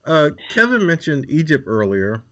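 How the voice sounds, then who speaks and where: A man in a casual live-stream chat, reading out facts with some halting. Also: on the high side, very animated, fairly bright tone, muffled recording.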